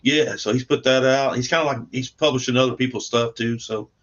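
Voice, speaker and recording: A man speaking as a guest in a casual interview, in a halting, plain-spoken way. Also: mid-range voice, fairly even intonation, fairly bright tone, dull audio. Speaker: guest comic book creator.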